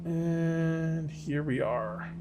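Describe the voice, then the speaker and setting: A man with a hoarse, fading voice, casually commenting on his survival game as he plays and rambling to his viewers. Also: fairly high pitch, drawn-out words, noisy background. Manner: rambling, self-correcting, talks to viewers. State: losing his voice. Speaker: gaming video host. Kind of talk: casual let's-play commentary.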